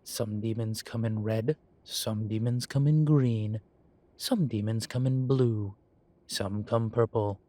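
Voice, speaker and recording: A man's voice: fairly low pitch, fairly even intonation. A man playing a droll, proud, genteel demon professor who lectures a student in a scripted roleplay audio. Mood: amused, proud. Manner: unhurried, genteel, gently lecturing. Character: droll, proud, urbane male demon professor, centuries old. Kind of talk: scripted roleplay audio, one-sided conversation.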